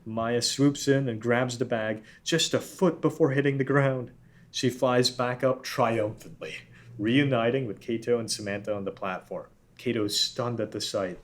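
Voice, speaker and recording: A man's voice: medium pitch, steady tone, normal speed. A man narrating a script, reading out scene headings and stage directions. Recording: background room noise.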